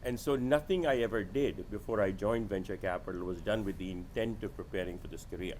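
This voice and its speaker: A man's voice: on the low side, brisk, darkish tone, a little hiss or hum, low-quality recording. A middle-aged man telling his story conversationally as an interview guest, candid and self-deprecating. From born in India, grew up in Sudan and Nigeria. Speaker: podcast guest, venture capitalist.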